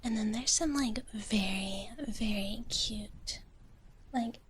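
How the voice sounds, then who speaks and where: A woman livestreaming ASMR, chatting casually in a gentle, slow whisper and often repeating short phrases, close to the mic. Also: medium pitch, a little hiss or hum.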